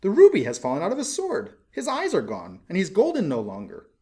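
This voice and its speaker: Man putting on a pompous, high-pitched blustering mayor. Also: huge pitch swings, fairly quick, mellow. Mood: scornful. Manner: blustering, emphatic, haughty. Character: pompous, disdainful male town mayor.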